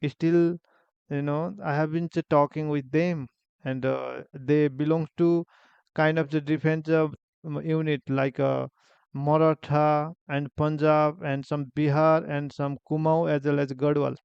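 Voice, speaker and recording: Nepali-accented male vlogger in his forties, high smooth voice, unhurried personal storytelling with lots of pauses. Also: flat delivery, no room sound, highs cut off. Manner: halting, many fillers and restarts, simple phrasing. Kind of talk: personal story, casual monologue.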